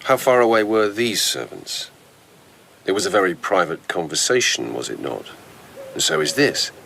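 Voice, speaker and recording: An actor playing a probing, restrained police lieutenant in a radio drama, with a husky voice and measured, insistent questioning. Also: male voice, lowish voice, huge pitch swings, a bit bright, a little hiss or hum, exaggerated voice. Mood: pressing, intimate. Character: male police lieutenant, probing, restrained investigator in old Japan. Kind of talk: naturalistic radio drama acting.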